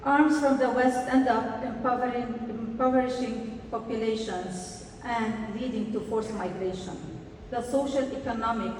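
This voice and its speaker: Earnest woman speaking in an echoey hall. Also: a little high. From Lebanon. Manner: earnest, measured, slows for emphasis. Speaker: party delegate giving a congress speech. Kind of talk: prepared conference speech. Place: large conference hall. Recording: echoey hall, distant mic, faint noise.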